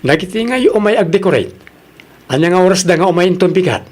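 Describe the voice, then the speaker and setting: Higher-voiced supportive Ilocano husband. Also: male voice, expressive, fast talker, darkish tone. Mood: agreeable, practical. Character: supportive young husband and father, agreeable. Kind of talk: Ilocano radio drama acting.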